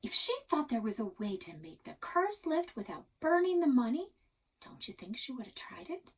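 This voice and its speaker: A woman's voice: fairly high pitch, sing-song, normal speed, husky. A woman voicing a persistent, inquisitive child in a storybook, earnest and probing.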